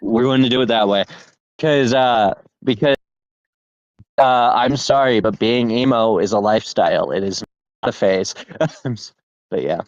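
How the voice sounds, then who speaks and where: A man's voice: mid-range voice, measured pace, pauses often, no room sound, lo-fi sound. A man co-hosting a casual podcast chat, talking in a laid-back, rambling way and laughing often. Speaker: podcast co-host.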